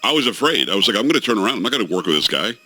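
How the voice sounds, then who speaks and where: A man's voice: fairly low pitch, very fast. A middle-aged man hosting a radio talk show, telling jokey stories in casual banter with his co-hosts. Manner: animated storytelling, jokey.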